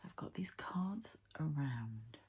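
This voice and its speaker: A woman softly whispering an ASMR healing ritual, breathy and halting, as if thinking aloud. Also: low voice, lively intonation. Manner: unhurried, halting, thinking aloud while handling objects. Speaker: host, energy healer and card reader.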